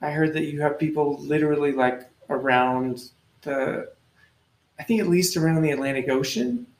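A woman in an improvised comedy podcast, deadpan, asking mock-serious questions. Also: low voice, moderate pace, echoey room.

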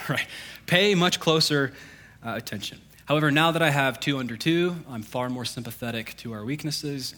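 A male pastor with a bright, forceful voice delivers a sermon. He reads scripture and then drifts into chatty asides and self-deprecating jokes, full of fillers, at a middle pitch. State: congested.

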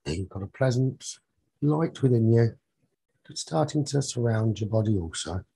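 A man leading a guided sleep meditation as a teacher, soothing and husky, speaking in short phrases with long pauses. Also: mid-range voice, lively intonation, dark tone, no echo, poor audio.

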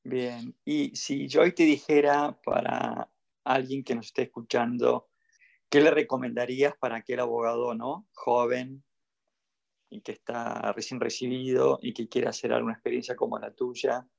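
A man's voice: mid-range voice, flat delivery, moderate pace, vocal fry. A man with an Argentine Spanish accent hosting a podcast interview in a casual, conversational style. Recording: dull lo-fi audio.

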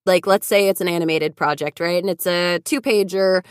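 A woman's voice: on the low side, steady tone, normal speed, clean tone, dry recording, studio quality. A woman voice actor in a casual group chat, upbeat and asking questions.

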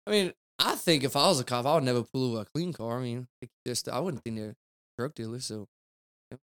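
A young man talks loosely as a podcast guest, rambling and tossing in "dude" and "man". His voice is smooth and clear, sitting at a middle pitch for a man. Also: animated, fairly quick, a bit bright. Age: 20s. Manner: rambling, laid-back, peppered with "dude" and "man". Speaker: guest, car detailer. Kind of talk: casual podcast chat.

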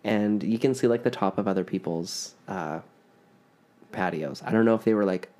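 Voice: low voice, normal speed, a little mellow. Chatty podcast host with a Canadian accent. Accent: Canadian, Ontario. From Ontario, Canada. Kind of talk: casual chat.

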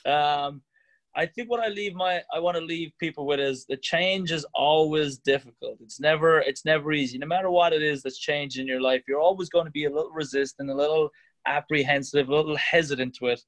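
A man's voice: a little high, a little fast, fairly bright tone. A man in casual chat, joking around and then speaking in an earnest, reflective way. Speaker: gym owner and guest.

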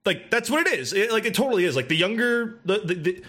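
A man's voice: high voice, sing-song, fast talker, sharp tone, slightly rough. A man chatting casually about video games, rambling with many fillers, restarts and swearing. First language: English. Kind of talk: casual gaming chat.

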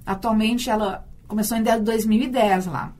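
A woman's voice: fairly high pitch, fairly even intonation, normal speed, a little mellow, a little hiss or hum. A Brazilian woman, a university teacher and storyteller, answering in a radio interview, thoughtful and often repeating words as she thinks.

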